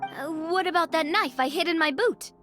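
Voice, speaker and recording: A woman's voice: very high-pitched, normal speed, sharp tone. A woman voicing a plucky, confident young female adventurer in a game, breezy and playful. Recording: faint music behind the voice.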